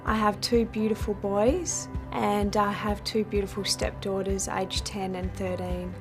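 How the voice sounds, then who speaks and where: Aussie woman in her 30s introducing herself, normal pitch, music clearly playing. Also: little pitch movement, unhurried. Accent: Australian. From Australia. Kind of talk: self-introduction.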